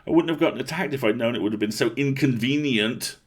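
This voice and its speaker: A stubborn young baker girl from a storybook, performed by a male reader. The delivery is snappish and emphatic, lifted in pitch with a husky edge. She sounds indignant and sure of herself. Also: quick pace, natural voice. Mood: snapping.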